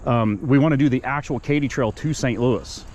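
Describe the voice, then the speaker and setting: A man's voice: medium pitch, brisk, darkish tone. A man in his fifties chatting casually with other riders on an outdoor bike trail, friendly and rambling. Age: 50s. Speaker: vlogger riding an e-bike.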